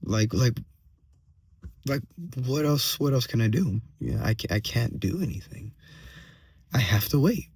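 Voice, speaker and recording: A man with a slightly hoarse voice giving a casual personal update as a vlogger, venting in a halting way, repeating phrases and checking in with tag questions. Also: medium pitch, lively intonation, darkish tone, dry recording. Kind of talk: casual solo monologue, venting.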